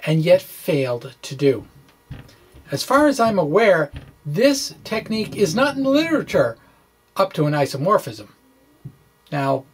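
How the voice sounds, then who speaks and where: A person reading a physics blog aloud as a science explainer, with deliberate, theatrical phrasing and informal asides. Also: mid-range voice, slightly rough.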